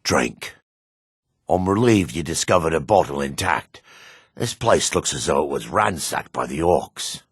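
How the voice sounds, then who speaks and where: An actor playing a devout, remorseful dark elf priest in a fantasy video game, speaking formally and gravely with a raspy voice. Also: male voice, very deep voice, lively intonation, no room sound, studio quality, heavily acted. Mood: grave, urgent. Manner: formal, measured, grave delivery.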